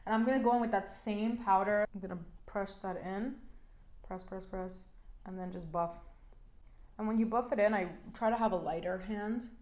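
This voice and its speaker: A woman's voice: mid-range voice, steady tone, moderate pace, a little mellow. A young woman in her twenties chatting frankly while doing her makeup, her voice congested from a cold, with lots of "like" fillers. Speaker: beauty vlogger. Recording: echoey room.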